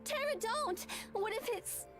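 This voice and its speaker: A woman's voice: very high-pitched, expressive, measured pace. A woman voicing a desperate, devoted young woman in a visual novel game, pleading and emotional. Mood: alarmed pleading. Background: game music.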